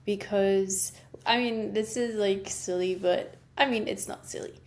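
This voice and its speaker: Woman podcast host, mid-pitched, chatty, rambles with fillers. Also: steady tone, a little slow. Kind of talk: casual interview chat.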